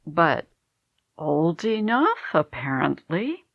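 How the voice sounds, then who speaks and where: A woman's voice: low voice, big pitch swings, slow, gravelly, no room sound, muffled recording, full character voice. A woman playing a worried, stubborn mother of grown children in a radio play, fraught and determined. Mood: weary, guarded.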